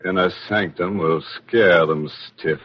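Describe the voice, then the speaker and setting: An actor playing a ghoulish, darkly joking host of a 1940s radio horror show, theatrical and wry. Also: male voice, low voice, steady tone, slow pace, husky, exaggerated voice. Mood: gleefully macabre. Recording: dull old 1940s radio recording, music behind.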